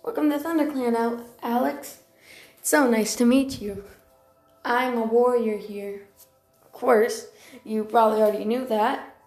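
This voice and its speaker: A woman's voice: fairly high pitch, steady tone, measured pace, long pauses, a little mellow, soft background music. A woman voicing a friendly, welcoming warrior cat in amateur character lines, chatty and encouraging with a newcomer. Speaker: amateur voice actor. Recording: roomy, echoey home recording.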